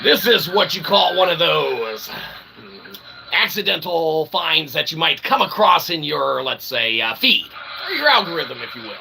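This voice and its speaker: A man with a fairly high, gravelly voice hosts a rambling comedy livestream. He plays the showman with self-deprecating patter and punctuates it with little questioning tags. The recording has clear background noise. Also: sing-song, measured pace, bright, forceful tone, poor audio. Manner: mock-showman, self-deprecating, refers to himself as "we"; repeats tag phrases like "okay?" and "eh?". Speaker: livestream host.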